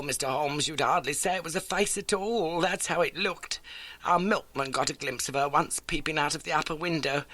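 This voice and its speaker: A man's voice: on the high side, bright, forceful tone, pitched above the performer's own voice. A man voicing an elderly, motherly working-class landlady in an audiobook, garrulous, earnest and folksy. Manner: chatty, folksy, dramatic storytelling. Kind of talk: audiobook character acting.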